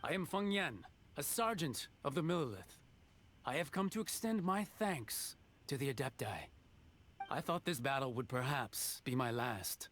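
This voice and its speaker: An actor playing a humble, dutiful army sergeant in a game, formal, respectful and earnest. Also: male voice, medium pitch, animated, moderate pace, a bit bright, slight background noise, lightly acted. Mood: respectful, grateful. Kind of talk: scripted game cutscene acting.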